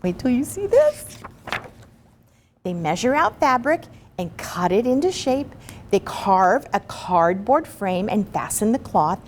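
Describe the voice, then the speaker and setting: Animated woman reading a picture book aloud. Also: mid-range voice, takes it slowly, a little hiss or hum. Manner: expressive, chatty, encouraging. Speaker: story reader.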